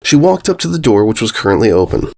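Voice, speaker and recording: A man reads a story aloud as its narrator. His mid-range voice moves around a lot in pitch, giving the scripted prose an expressive, animated feel. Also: quick pace, gravelly. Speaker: fan fiction narrator.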